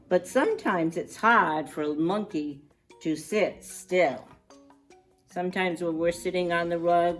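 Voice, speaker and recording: A woman reads a children's picture book aloud at an unhurried pace, in a slightly low voice. She stretches key words expressively and speaks to her young listeners in chatty asides. Soft music is present.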